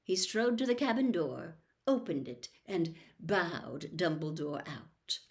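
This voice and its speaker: A woman's voice: lowish voice, animated, slow pace. A woman storyteller narrating a book aloud from a script.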